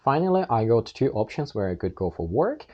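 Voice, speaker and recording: A man's voice: medium pitch, sing-song, fairly quick, darkish tone, lo-fi sound. A young man from Ukraine with a Ukrainian accent, earnestly recounting his own past in a plain-spoken monologue. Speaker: channel host. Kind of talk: personal storytelling monologue.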